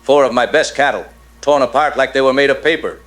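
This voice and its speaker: A man playing a shaken rural cattle rancher, gravely telling his story as a caller in a radio drama. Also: mid-range voice, fairly quick, fairly bright tone, soft background music, close to an everyday voice.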